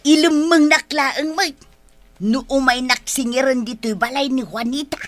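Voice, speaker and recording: A woman's voice: high voice, unhurried, dry recording, faint music behind. A woman with an Ilocano accent playing a scheming, complaining village neighbour in a comedy drama. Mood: evasive.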